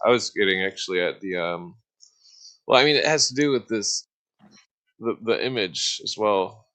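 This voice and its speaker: A man giving a casual, rambling explanation as host of an online discussion, halting and searching for words as he loses his thread. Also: fairly low pitch, fairly bright tone. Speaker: host explaining esoteric symbols.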